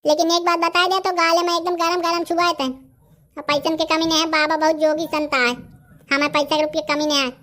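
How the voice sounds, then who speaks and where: A man voicing a bossy, sly village holy man in a comedy cartoon, with a Bundelkhandi accent and artificially raised pitch. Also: level tone, a little fast, pushed and bright. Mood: bossy, smug. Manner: pompous, instructing, brusque. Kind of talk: rustic comedy cartoon dialogue.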